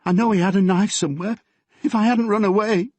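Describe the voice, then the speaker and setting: A man putting on a frightened teenage girl whose voice rides well above his own, shaky and pleading. The tone stays husky and close, with a dry, deadened sound and no room around it. It suits an audiobook scene of a girl confiding in her father. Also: on the high side, lively intonation, moderate pace, a little mellow, no echo, pitched above the performer's own voice. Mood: frightened, tearful. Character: frightened tearful teenage girl, a policeman's daughter.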